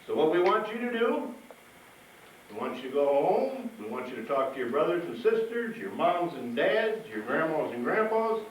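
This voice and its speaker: A man with a fairly high, animated voice presents to children in a plain, folksy way. He repeats his points and checks in with questions. The recording is echoey, as at a live show. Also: moderate pace. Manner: repetitive, plain-spoken, asking the audience questions. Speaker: firefighter hosting a fire safety show for children. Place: live show at a fire station. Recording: echoey, distant mic, noisy live show.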